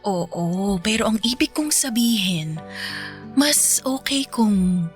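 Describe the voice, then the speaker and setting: An actress playing a frank, flirty mature widow with a Filipino accent in a romantic radio drama. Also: female voice, mid-range voice, lively intonation, slow, faint music behind. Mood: coy, flirty. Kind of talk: Tagalog radio drama acting.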